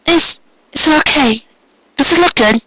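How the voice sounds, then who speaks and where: A man's voice: very high-pitched, moderate pace, sharp tone, no echo, pitched above the performer's own voice. A man voicing a sweet, shy young female baker pony with a hesitant, polite manner in a storybook reading. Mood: nervous. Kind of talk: amateur storybook character reading.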